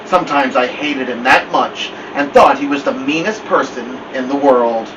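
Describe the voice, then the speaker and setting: A man with a mid-range voice narrates a story aloud in the first person. The recording is muffled and distant, with a strong steady hum like air conditioning behind him. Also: mid-range voice, moderate pace. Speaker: storyteller reading a novel aloud.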